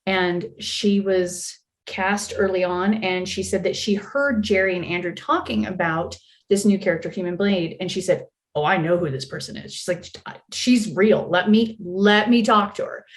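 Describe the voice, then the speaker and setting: A woman chatting casually as an interview guest, telling stories in a wry, self-deprecating and animated way. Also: lowish voice, normal speed. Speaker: voice actor being interviewed. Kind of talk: casual chat.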